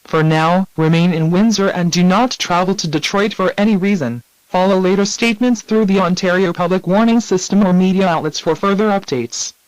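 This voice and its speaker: A man reading a scripted emergency bulletin in a formal, measured manner. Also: sits high, smooth, dry recording, lo-fi sound.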